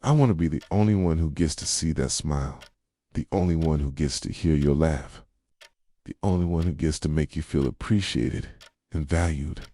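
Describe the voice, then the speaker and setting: Very deep, soft-voiced jealous boyfriend acted by a man. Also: normal speed, dry recording, studio quality. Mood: possessive, brooding. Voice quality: soft, low, slipping into whispers. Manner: tender, brooding, confessional. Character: jealous, possessive, obsessively devoted yandere boyfriend. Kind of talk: intimate boyfriend roleplay ASMR.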